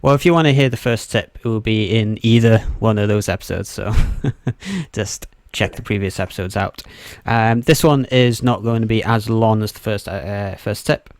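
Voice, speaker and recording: A man co-hosting a casual podcast in a fairly low, gravelly voice. He explains in a halting, stop-start way, close and dry on the mic. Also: darkish tone, dry recording. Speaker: podcast co-host.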